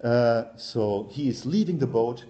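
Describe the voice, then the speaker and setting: A man's voice: medium pitch, animated, unhurried, warm tone, dull audio. A middle-aged man with a German accent giving an academic workshop presentation, hesitant and full of ums and uhs. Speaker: university lecturer.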